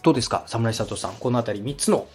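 A man's voice: mid-range voice, expressive, racing, a little mellow, faint music behind. A man hosting a podcast, giving advice in a polite, encouraging, conversational way. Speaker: podcast host, radio director giving advice. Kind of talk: conversational coaching, polite explanation.

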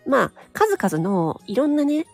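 A woman's voice: high-pitched, very animated, measured pace, gravelly, darkish tone, slightly rough, soft background music. A woman talking frankly on her own audio channel in a casual monologue, with many small nodding asides. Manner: frank, chatty, full of nodding asides and tag particles. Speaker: solo audio broadcaster.

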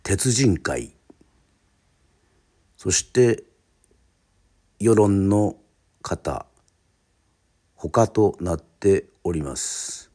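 Slow, low-voiced Japanese man introducing radio drama. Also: lively intonation, darkish tone. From Yoron Island, Japan. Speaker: radio host and narrator.

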